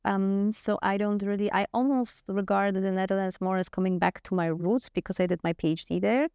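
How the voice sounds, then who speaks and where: A woman's voice: mid-range voice, fairly even intonation, fairly quick, darkish tone, smooth, no echo, studio quality. A middle-aged woman from Poland with a Polish accent, speaking earnestly and frankly as an interview guest, often restarting her sentences. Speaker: guest scientist. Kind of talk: conversational interview answers.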